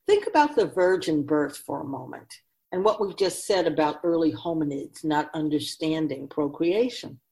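A woman playing a wise, teasing, ageless female spirit guide in a radio drama, speaking in a measured, knowing way. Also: low-pitched, animated, warm tone. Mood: earnest, instructive. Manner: measured, knowing, rhetorical questioning.

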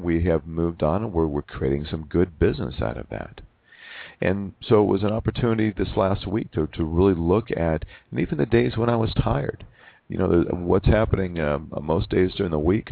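A man in his forties, hosting a reflective personal monologue, who talks haltingly with many restarts and repeated words. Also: sits low, vocal fry, no echo. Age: 40s. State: losing his voice from overwork. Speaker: podcast host. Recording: narrow phone-like sound.

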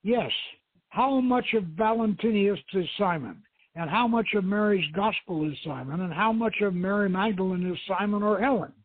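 A man's voice: sits high, moderate pace, no echo, voice pushed up. Man playing an emotional woman archaeologist, phone-like sound. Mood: tearful, earnest. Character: emotional, argumentative woman archaeologist, seductive and earnest. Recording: phone-like thin line.

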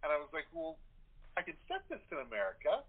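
A man's voice: a little high, wildly swooping pitch, fast, slightly rough. Man chatting on a tinny phone line. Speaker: playwright. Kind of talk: casual interview chat. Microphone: telephone or internet call. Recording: tinny telephone-like sound.

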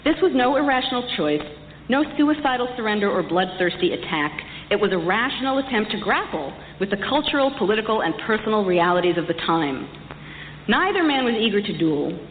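Woman lecturer, reverberant hall, muffled recording, measured emphatic storytelling, deliberate phrasing. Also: medium pitch, very animated. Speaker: lecturer, historian speaker. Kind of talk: prepared public lecture to an audience. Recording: echoey hall, dull distant sound, faint noise.

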